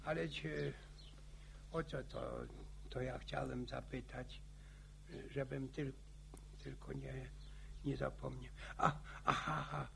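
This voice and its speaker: An actor playing a talkative, boastful old grandfather, halting and repeating himself in a radio drama. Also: mid-range voice, little pitch movement, fairly bright tone, slight background noise, heavily acted. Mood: rambling, musing. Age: older. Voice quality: creaky, gravelly. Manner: halting, stammering, repeats words.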